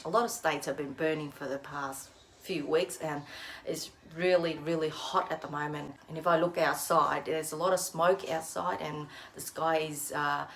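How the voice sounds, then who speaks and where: A woman's voice: on the low side, a little hiss or hum. A woman with an Australian accent and a slight Vietnamese touch, teaching English in a casual vlog from home with many uh's and you know's. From Vietnamese background, lives in Australia. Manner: encouraging, many fillers like uh and you know. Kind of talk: casual direct-to-camera teaching. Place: at home indoors.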